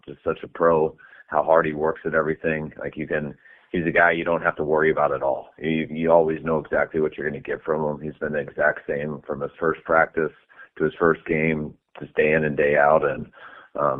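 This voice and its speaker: Very deep-voiced coach on a phone line. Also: male voice. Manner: relaxed, many "um" and "I mean" fillers. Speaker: head coach. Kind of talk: answering press questions on a conference call.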